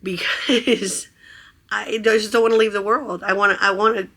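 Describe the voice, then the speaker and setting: A hoarse woman who has nearly lost her voice, chatting in a casual, gushing vlog aboard a cruise ship. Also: mid-range voice, fairly even intonation, normal speed, a bit bright. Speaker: vlogger. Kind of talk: casual travel vlog chat.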